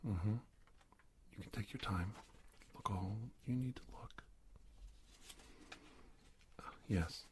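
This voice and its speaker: A man playing an earnest, hesitant secret-agent doctor in a soft-spoken ASMR roleplay, halting, confiding and gently coaxing. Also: fairly low pitch, slight background noise. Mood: hushed, confiding.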